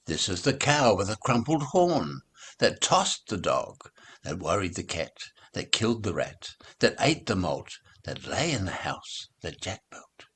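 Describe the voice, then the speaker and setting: A man reading nursery rhymes aloud as a storybook recitation, in a measured, rhythmic delivery. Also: deep voice, very animated, fairly bright tone, breathy edge.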